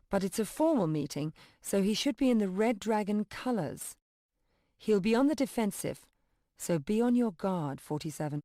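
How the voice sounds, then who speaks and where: Actress as game mission handler, woman, dark soft tone, dry close sound, formal, composed, businesslike. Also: mid-range voice, no echo, natural voice. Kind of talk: scripted mission briefing, video game.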